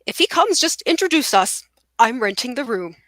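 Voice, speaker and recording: A woman playing a suave, grandiose gentleman suitor with a pompous, theatrical delivery in a stage comedy read. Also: fairly high pitch, very animated, moderate pace, no room sound, studio quality. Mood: grandly seductive.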